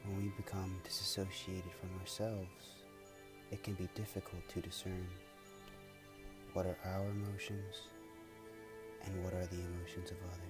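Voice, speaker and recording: A man leading a guided meditation in a soothing, measured, unhurried way with pauses. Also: deep voice, level tone. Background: music.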